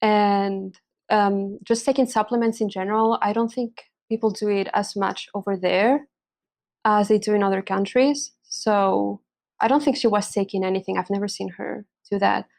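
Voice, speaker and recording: A woman's voice: medium pitch, fairly even intonation, normal speed, creaky, a little mellow. A young woman from Spain, a pregnancy coach, chatting in an interview, hesitant with many fillers and restarts. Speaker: hormonal and pregnancy coach, podcast guest. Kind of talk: casual interview chat.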